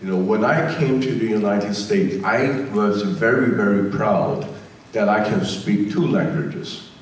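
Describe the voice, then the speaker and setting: Echoey older man with a Taiwanese accent. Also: fairly low pitch. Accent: Taiwanese Mandarin. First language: Mandarin Chinese. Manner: halting, many fillers and restarts. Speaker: panelist, state legislator. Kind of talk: panel remarks. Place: large community center hall, indoors. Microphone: handheld mic, filmed from a far camera. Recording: echoey hall from far off.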